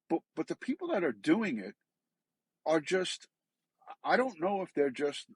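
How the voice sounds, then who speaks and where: Middle aged guy with a raspy voice, ex cop type giving stern opinions, emphatic, dry close sound. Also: fairly high pitch, dry recording. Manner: earnest, emphatic, disapproving. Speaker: retired law enforcement professional, true-crime commentator. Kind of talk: opinion commentary to camera.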